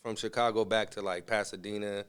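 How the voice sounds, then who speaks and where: A man from Southern California with a smooth, clear, fairly low voice. He is a guest in a casual interview, talking in a laid-back and slangy way. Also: flat delivery, brisk, fairly bright tone. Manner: laid-back, slangy, often says "you feel me". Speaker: interview guest, musician and entrepreneur. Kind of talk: casual conversational interview answers.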